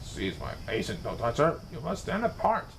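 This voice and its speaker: Officious doctor played by a male reader, bossy and self-important, storybook role, animated pitch. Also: moderate pace, gravelly, a little hiss or hum.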